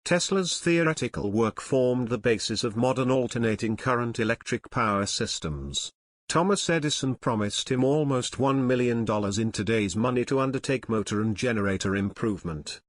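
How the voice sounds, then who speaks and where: A man reading scripted passages aloud in a measured, neutral way. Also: mid-range voice, lively intonation, no room sound. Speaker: narrator reading practice passages. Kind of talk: scripted read-aloud narration.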